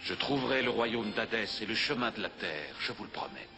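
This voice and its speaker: A low-voiced, resolute heroic father. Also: lively intonation, fairly quick, vocal fry, over music. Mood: solemn resolve. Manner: solemn, reassuring. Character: resolute, protective father, heroic adventurer, gently reassuring. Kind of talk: dramatic cartoon acting.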